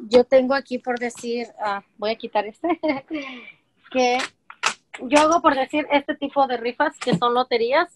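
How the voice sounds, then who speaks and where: A woman's voice: high voice, measured pace, background noise. A woman in her forties, talking as a guest on a video call from home. She explains with lots of examples and fillers. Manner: chatty, explains with examples, many fillers like "este" and "eh". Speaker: guest, home-business seller. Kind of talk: casual interview over video call. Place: home room with shelves of colorful containers. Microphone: video call webcam.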